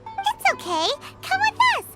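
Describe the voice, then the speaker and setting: A woman's voice: extremely high pitch, wildly swooping pitch, measured pace, over loud music, full character voice. A woman voicing a tiny, cheerful, childlike companion girl in a video game, bubbly, chirpy and eager to help. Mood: helpful, cheerful. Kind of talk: video game character dialogue.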